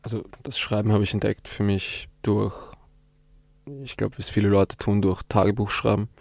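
A young man whose first language is German speaks in a low, gravelly voice with very little melody. He answers interview questions thoughtfully, pausing and restarting as he searches for words. The recording is close and dry. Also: flat delivery, a little mellow, dry recording. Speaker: interviewed poet.

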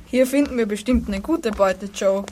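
A man's voice: high voice, moderate pace, a little mellow, a little hiss or hum, close to an everyday voice. A boy playing a bossy, bickering burglar in an amateur school radio play. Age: child.

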